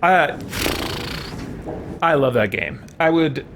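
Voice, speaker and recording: A man giving casual live commentary on a game, rambling and halting as he thinks aloud. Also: on the high side, lively intonation, background noise. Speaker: let's play streamer. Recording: game music behind the voice. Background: video game music.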